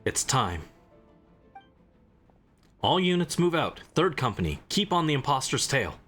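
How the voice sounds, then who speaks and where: A man's voice: medium pitch, big pitch swings, measured pace, fairly bright tone, slightly rough, faint music behind, exaggerated voice. Man reading as composed, eloquent male commander. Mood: calm, commanding.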